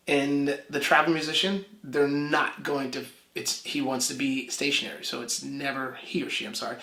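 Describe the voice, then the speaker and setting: A man's voice: mid-range voice, bright, forceful tone. Musician guy chatting, trips over his words a lot, echoey room. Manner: halting, many restarts. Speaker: musician guest. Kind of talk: casual interview chat.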